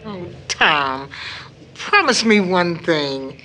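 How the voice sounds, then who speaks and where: An actress playing a devout, gentle middle-aged mother in an audio drama, earnest and measured. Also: fairly low pitch, expressive, a little hiss or hum, exaggerated voice. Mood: earnest, consoling. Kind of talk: stage-style audio drama acting.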